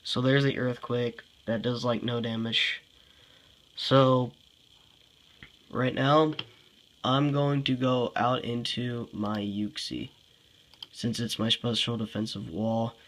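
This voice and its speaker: A congested young man talks through his game moves at an unhurried, drawn-out pace. He thinks aloud, sighs often and sounds fed up, with a pitch that sits in the middle. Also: level tone, fairly bright tone, poor audio. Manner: sighing, frustrated, thinking aloud. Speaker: gameplay commentator. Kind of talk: casual game commentary.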